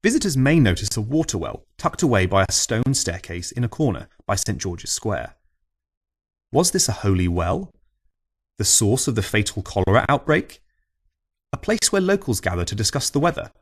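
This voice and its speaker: A man's voice: lowish voice, very animated, moderate pace, husky. A man reading scripted local history as an audiobook narrator, with a wry storytelling manner.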